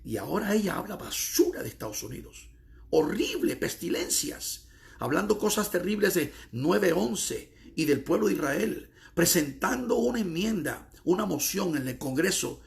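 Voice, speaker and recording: A man's voice: on the high side, creaky. A middle-aged man from the United States, an evangelist preaching emphatically, repeating phrases and stretching key words. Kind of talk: preaching monologue.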